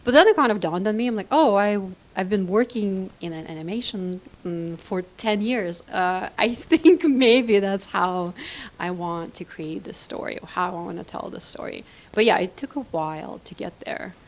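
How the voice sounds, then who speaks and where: A woman's voice: mid-range voice, normal speed. Reflective woman from Ukraine. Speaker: interview guest, animation filmmaker. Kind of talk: casual interview answers.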